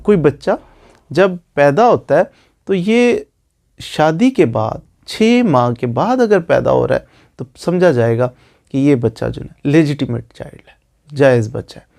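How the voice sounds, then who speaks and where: A man's voice: fairly high pitch, gravelly, darkish tone. A man with a Pakistani accent giving an informal legal explainer as a lawyer, repeating phrases for emphasis. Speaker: lawyer explaining law online.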